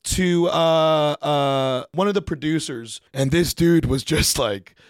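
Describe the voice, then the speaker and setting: A man telling work stories in a casual, animated way, swearing freely and acting out the conversations as he goes. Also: fairly high pitch, takes it slowly, no room sound. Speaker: podcast studio staff member telling work anecdotes. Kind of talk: casual storytelling to camera.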